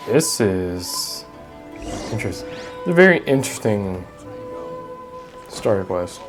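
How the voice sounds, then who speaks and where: A casual man tossing out wry asides while he plays through a game's story. Game music plays softly under his voice. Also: lowish voice, sing-song, takes it slowly. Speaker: gaming youtuber. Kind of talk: casual commentary over gameplay.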